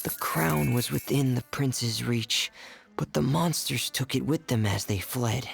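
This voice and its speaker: A man voicing a shy, earnest teenage boy in a video game, with a creaky, breathy, hesitant delivery. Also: medium pitch, measured pace, soft background music.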